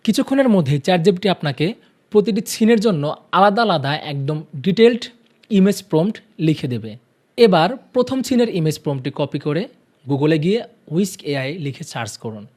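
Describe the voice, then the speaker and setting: Guy doing a how-to narration, slightly high voice, very up and down, walks you through steps. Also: animated, a little fast, a little mellow. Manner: addresses viewers directly with step-by-step instructions. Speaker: tutorial presenter. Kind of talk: instructional tutorial narration.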